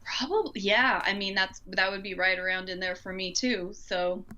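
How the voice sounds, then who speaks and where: A woman's voice: mid-range voice, normal speed, pushed and bright. A woman co-hosting a podcast in an informal chat, thinking aloud, on slightly dull audio. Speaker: podcast co-host.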